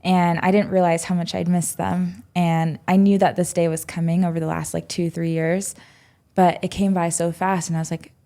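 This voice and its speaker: A woman's voice: lowish voice, level tone, normal speed, mellow. A woman in her twenties, a podcast guest telling her story reflectively, with many "um"s and "like"s. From United States. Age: 20s. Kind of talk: casual podcast interview.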